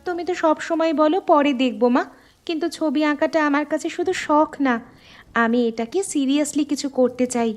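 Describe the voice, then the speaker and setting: A woman's voice: high-pitched, a little fast, natural voice. A woman voicing an eager, caring young daughter in a cartoon story, earnest and helpful.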